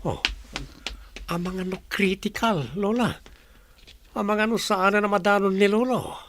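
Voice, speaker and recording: A man's voice: high voice, measured pace, a bit bright. A man playing a cheeky grandson in a radio drama. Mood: questioning.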